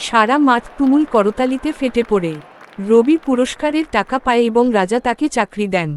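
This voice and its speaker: A woman narrating a cartoon story in a plain, even storytelling manner. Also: fairly high pitch, moderate pace, slight background noise.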